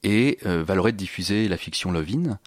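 A man's voice: low-pitched, expressive, normal speed, no echo, studio quality. A man explaining his sound installation in an interview, searching for his phrasing and repeating words as he goes.

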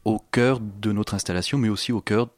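Man, deep voice, spontaneous interview talk, halting and searching phrasing, dry sound. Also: steady tone, a little mellow, no echo. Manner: hesitant, searching phrasing with repeated words. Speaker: interview guest, sound installation creator.

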